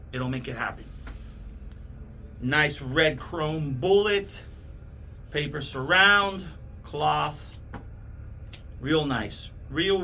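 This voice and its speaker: A man's voice: a little high, pushed and bright, slight background noise. A man hosting a casual product unboxing, talking enthusiastically to viewers in short, punchy, repeated phrases. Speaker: host of product unboxing videos. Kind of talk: casual hype product demo to camera.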